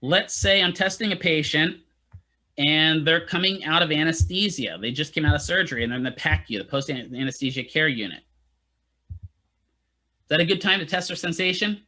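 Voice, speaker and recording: A man lectures a class of therapy students in a loose, joking way and checks in constantly with the room. His voice is bright and forward, and it sits a little high for a man. He sounds at ease in front of his students. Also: moderate pace. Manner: casual, asks "right?" often, jokey asides to students. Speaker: physical therapy instructor.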